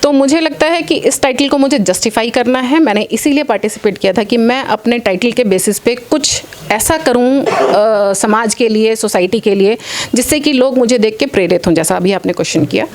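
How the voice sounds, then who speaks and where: A woman's voice: fairly high pitch, normal speed, a little mellow. A woman in her late forties answering interview questions earnestly, mixing English phrases into her speech. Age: late 40s. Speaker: interview guest, athlete and former air force officer.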